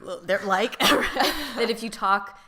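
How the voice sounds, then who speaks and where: A young woman from Orange County who hosts a casual podcast chat, guiding the talk with measured questions. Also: mid-range voice, noisy background. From Orange County, California. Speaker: podcast host, moderator.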